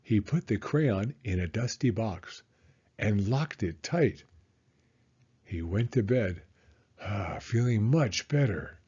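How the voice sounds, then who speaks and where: A man reading a children's story aloud in a theatrical, suspenseful way with drawn-out emphasis. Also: lowish voice, expressive, warm tone, husky, lo-fi sound.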